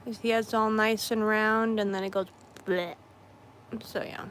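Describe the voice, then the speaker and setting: A hoarse woman chatting slowly and easily while she crafts at home, clearing her throat often and circling back to fix what she just said. Her pitch sits mid-range, and a faint hum of room noise stays under her voice. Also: flat delivery, fairly bright tone. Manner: rambling, with frequent throat-clearing and self-corrections. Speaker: craft streamer. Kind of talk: casual livestream chat while crafting.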